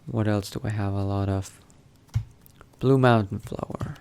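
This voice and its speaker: A man doing in-character game commentary, thinking aloud in a musing, unhurried murmur to himself. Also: on the low side, fairly even intonation, gravelly, darkish tone, a little hiss or hum. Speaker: gamer narrating a roleplay playthrough.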